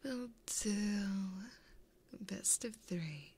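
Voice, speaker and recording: A woman voicing a flirty, possessive, taunting female demon in an ASMR roleplay, breathy and often whispering in a teasing, intimate way, close to a binaural mic. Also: medium pitch, animated, extremely slow, a little mellow, full character voice. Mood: flirty, calm. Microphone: close binaural microphone.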